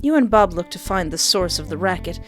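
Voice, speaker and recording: A woman hosting a game playthrough, reading narration aloud and reacting casually in a playful, expressive way. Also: fairly low pitch, brisk, music in the background.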